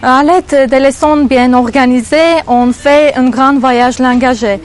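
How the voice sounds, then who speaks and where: High-voiced woman in her twenties. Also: a little slow. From Armenia. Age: 20s. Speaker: doctor's assistant.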